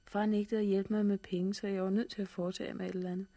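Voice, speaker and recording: A woman plays a wry young female survivor in 1960s radio drama. Her voice is husky and breathy at a middling pitch. She talks in a frank, conversational way. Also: flat delivery, dark tone, close to an everyday voice. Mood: candid, wry.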